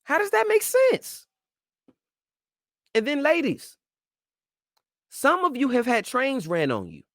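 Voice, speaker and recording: A man hosts his own advice show and talks straight to the listener in a casual, slang-filled way. His voice sits a little high for a man and swings widely up and down as he presses his points with preachy emphasis. The sound is close and dry. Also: dry recording. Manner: informal slang-filled speech. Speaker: show host.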